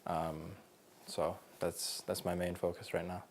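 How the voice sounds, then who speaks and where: Deep-voiced young man, hesitant. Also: little pitch movement, a little slow, creaky, slight background noise. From Colorado, USA. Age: 20s. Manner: thoughtful, hesitant, many fillers. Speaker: interviewee. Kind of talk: casual interview, personal story.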